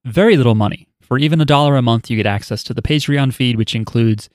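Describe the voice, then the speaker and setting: A man's voice: mid-range voice, fast talker, darkish tone, no echo, clean studio sound. A man hosting a podcast, chatting casually and reading out listener email with offhand, wry asides. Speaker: podcast host.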